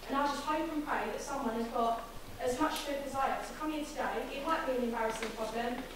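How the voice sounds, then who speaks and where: A young woman in her twenties rattles off an impassioned complaint, quick and forceful. Her voice rings through a very echoey hall, picked up from well off the mic. Steady background noise sits under her. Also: a little high, recorded from a distance. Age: 20s. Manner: fast, forceful and indignant. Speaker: audience member raising a complaint. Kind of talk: impassioned public-meeting statement. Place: large public meeting hall.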